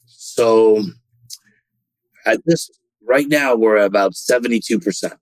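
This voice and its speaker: A man's voice: on the low side, dry recording, poor audio. A man being interviewed on a podcast about running a car dealership, talking in bursts with many restarts and repeated words. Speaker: dealership general manager, guest.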